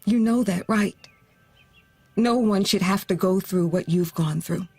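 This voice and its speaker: An actress playing a gentle, grieving middle-aged mother who offers consolation in a naturalistic audio drama. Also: medium pitch, husky, no room sound, soft background music. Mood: earnest, consoling. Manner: slow, gentle, earnest delivery with pauses. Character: grieving, consoling mother who lost her teenage daughter.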